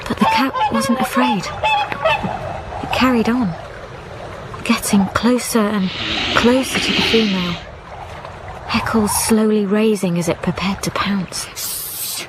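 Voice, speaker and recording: A woman's voice: mid-range voice, big pitch swings, takes it slowly, long pauses, heavy background noise. A woman playing a haunted, stubborn young widow in a radio drama, speaking intensely in short broken phrases. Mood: haunted, tense. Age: young adult. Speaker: actor and narrator in a radio drama. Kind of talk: dramatic first-person narration and dialogue. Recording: radio drama with music behind.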